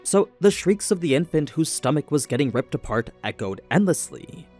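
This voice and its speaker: A man's voice: fairly high pitch, very animated, normal speed, darkish tone. A man delivering scripted video essay narration in a storytelling way, with rhetorical asides to the listener. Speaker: narrator of an anime recap channel. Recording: voice-over with music underneath. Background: background music.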